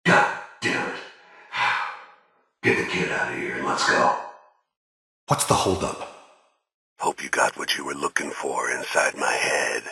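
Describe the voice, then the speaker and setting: A man voicing a cold, world-weary mercenary killer in a video game, gravelly and husky, with a sardonic, deliberate, taunting manner. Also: very deep voice, bright, forceful tone, no echo, studio quality, exaggerated voice. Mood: curt threat, then bitter taunting.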